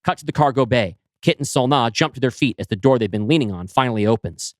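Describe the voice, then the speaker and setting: A man reading a science fiction script aloud as narrator, in an even, descriptive manner. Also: mid-range voice, fast talker, no echo. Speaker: storyteller.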